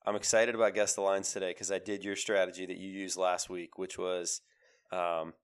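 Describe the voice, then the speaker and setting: A man in his thirties with a cold, co-hosting a casual sports podcast, talking in an animated, emphatic way. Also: sits low, normal speed, smooth. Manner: animated, emphatic with repeated phrases. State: has a cold, voice lower than usual. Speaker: podcast co-host.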